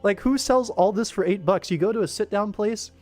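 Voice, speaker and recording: A young American man with a fairly high voice chats off the cuff to a livestream. He is emphatic and enthusiastic, wandering into tangents as he goes. Music plays behind him. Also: brisk, a little mellow. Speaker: livestream host. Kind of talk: casual livestream rant.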